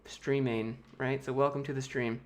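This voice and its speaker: A man's voice: mid-range voice, level tone, moderate pace. American man, livestream host, casual Q&A, joking, offhand, home desk mic. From United States. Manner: loose, joking, reads chat questions aloud and answers offhand. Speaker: YouTube host answering chat.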